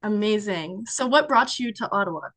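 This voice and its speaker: A woman's voice: mid-range voice, expressive, moderate pace, fairly bright tone, dry recording, rough recording. A young woman, a student conducting a class interview, reading a formal opening and then chatting in a friendly way. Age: young adult. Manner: friendly, scripted intro then chatty, laughs often.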